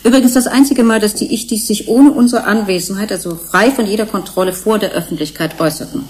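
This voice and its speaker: An actress playing a frank, composed research scientist in a radio play, explaining things emphatically. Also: female voice, mid-range voice, fast, a little mellow, close to an everyday voice. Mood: frank, explanatory.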